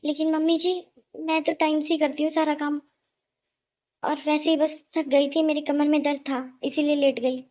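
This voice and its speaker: A woman's voice: high voice, level tone, moderate pace, a little mellow, lo-fi sound, lightly acted. A woman voicing a weary, hurt young daughter-in-law who pleads plaintively in a melodramatic cartoon. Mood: meek, defensive. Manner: plaintive, pleading, self-pitying. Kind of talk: melodramatic Hindi cartoon voice acting.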